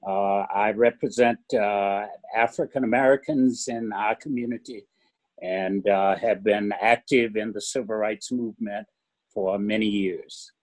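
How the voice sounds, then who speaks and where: A man who is a retired pastor, introducing himself at a meeting in a measured way with pauses. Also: on the low side, steady tone, a little mellow. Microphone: video call.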